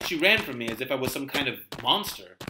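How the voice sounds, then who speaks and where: A man's voice: medium pitch, big pitch swings, fast, heavy background noise, pitched below the performer's own voice, close to an everyday voice. A man playing a frightened, desperate young driver haunted by a phantom, in intense dramatic first-person storytelling. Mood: haunted, weary. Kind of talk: dramatic first-person radio-play narration read aloud.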